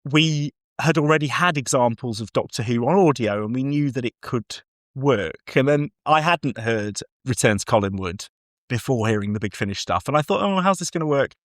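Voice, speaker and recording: A man with a mid-pitched voice talks through a review as a podcast co-host. He is casual and enthusiastic, wandering through his points and correcting himself as he goes. The recording is close and dry, with no room sound. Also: animated, moderate pace, no room sound.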